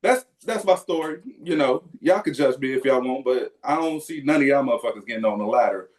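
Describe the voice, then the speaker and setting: A man casually telling a profane, animated story as a podcast co-host. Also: medium pitch, fairly quick, poor audio. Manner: animated, profane, loud yelps when acting out.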